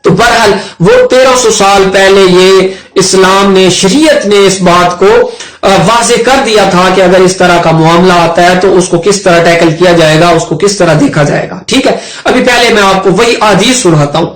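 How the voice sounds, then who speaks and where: A man's voice: sits high, normal speed. A man with a Pakistani accent giving an informal explanatory law lesson, conversational and often repeating and rephrasing his points. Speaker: advocate and legal educator. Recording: roomy echo, music underneath.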